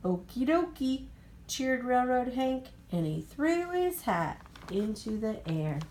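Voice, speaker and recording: A woman reading a picture book aloud to children as an expressive storyteller with sing-song phrasing. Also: a little high, slow, slight background noise.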